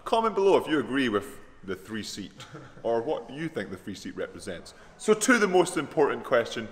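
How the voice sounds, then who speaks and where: A man's voice: mid-range voice, big pitch swings, brisk, reverberant. A young Scottish man runs playful mock interviews with his rowing crew, teasing and laughing as he goes. His voice swings up and down with lots of life. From Scotland. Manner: jokey, teasing, laughs often. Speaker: vlogger interviewing crewmates.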